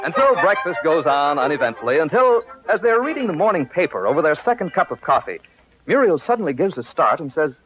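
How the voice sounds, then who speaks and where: A male narrator of an old radio comedy, fairly high in pitch and very lively in his scripted delivery. The recording is dull, with faint noise. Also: a little fast, over music.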